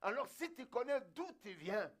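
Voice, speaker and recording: High-voiced preacher man. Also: sing-song, moderate pace, a bit bright. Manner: emphatic, repetitive, exhorting. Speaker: pastor. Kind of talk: fervent preaching sermon.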